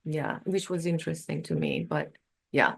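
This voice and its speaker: A woman's voice: deep voice, big pitch swings, normal speed, gravelly, husky. Woman, explaining earnestly. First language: Farsi. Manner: earnest, explaining, many fillers and restarts. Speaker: guest, yoga and Ayurveda practitioner. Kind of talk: casual interview chat.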